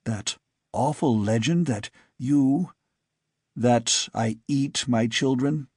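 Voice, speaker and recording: Man doing a tired old dad, soft and brooding, dry sound. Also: medium pitch, slow, husky, no room sound. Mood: weary. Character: weary, brooding older father.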